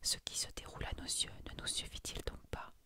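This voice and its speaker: An ASMR narrator voicing an elegant young fiancé from a storybook in a breathy, lightly wry whisper. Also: a little fast, natural voice. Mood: wry, unbothered. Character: elegant young Polish fiancé, worldly gentleman.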